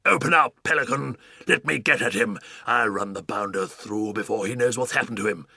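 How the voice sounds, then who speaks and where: An actor playing a pompous, blustering old duke who bellows his orders slowly and emphatically. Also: lowish voice, vocal fry, pushed and bright, slightly rough, no echo, studio quality, heavily acted.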